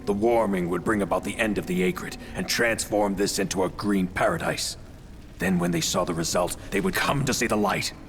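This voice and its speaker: An actor voicing a serious, remorseful, burdened soldier in a video game, speaking earnestly. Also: male voice, lowish voice, expressive, fairly quick, creaky, a bit bright, soft background music. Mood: grave. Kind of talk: scripted video game acting.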